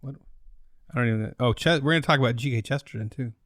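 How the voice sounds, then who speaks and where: A man's voice: mid-range voice, racing, fairly bright tone, no room sound, studio quality. A man co-hosting a podcast with casual, joking banter. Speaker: podcast co-host.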